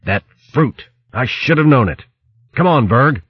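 Man as a gruff, commanding storybook sea captain. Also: mid-range voice, lively intonation, unhurried, no room sound, lo-fi sound, voice pushed up. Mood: alarmed. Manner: decisive, gruff seafaring orders.

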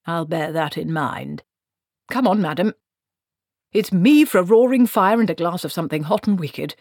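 A woman's voice: lowish voice, lively intonation, normal speed, a little mellow, no room sound, clean studio sound. A woman voicing a miserable, reluctant male wizard in a storybook, fussy, protesting and formally polite. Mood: weary, polite.

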